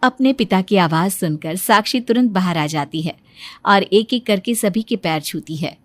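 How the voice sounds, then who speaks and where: A woman's voice: mid-range voice, normal speed, no echo. A woman narrator reading a scripted moral story. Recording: music behind the voice.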